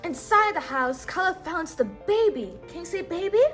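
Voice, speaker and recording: A woman reading a storybook aloud to a child in an expressive, instructional way, pausing on words to be repeated. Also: very high voice, soft background music. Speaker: storybook read-aloud host. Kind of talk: children's read-aloud narration with call-and-response prompts.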